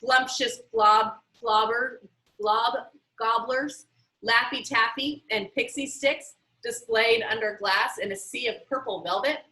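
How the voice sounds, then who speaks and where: A woman with a high, bright voice reads a children's story aloud. Her delivery is animated and very expressive, as storytellers are when they act out a book. The sound is roomy and echoey. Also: takes it slowly. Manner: animated, acting out dialogue.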